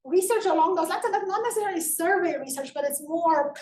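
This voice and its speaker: A woman's voice: very high-pitched, fast, a little mellow. A woman speaker answering audience questions after an academic talk, rambling and full of "sort of" and "right" fillers. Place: lecture room. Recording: echoey room, voice from far off, faint noise.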